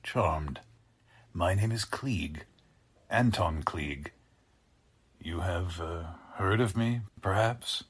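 An actor playing a courtly but menacing mad scientist in a 1940s-style radio drama, with formal, pompous, halting delivery. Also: male voice, sits low, slightly rough, full character voice.

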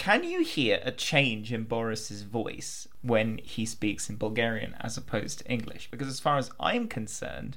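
Chatty podcast guy, lots of ums, laughs easy, relaxed film talk. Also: fairly low pitch, moderate pace, hardly any pauses. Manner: chatty, hesitant, many ums, laughs easily. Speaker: podcast co-host. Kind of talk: casual chat.

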